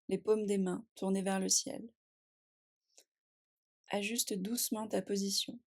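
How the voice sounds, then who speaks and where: A woman guiding a relaxation meditation in a calm, soothing and unhurried manner, speaking in short phrases with long pauses. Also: medium pitch. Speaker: yoga nidra meditation guide. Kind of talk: guided relaxation meditation, scripted.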